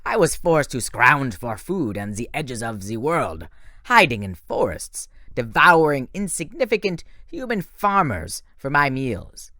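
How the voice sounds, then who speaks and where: A man's voice: medium pitch, sing-song, unhurried, sharp tone, no room sound, studio quality. A man voicing a menacing male monster who speaks with a heavy French accent and gloats over his captives. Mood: gloating.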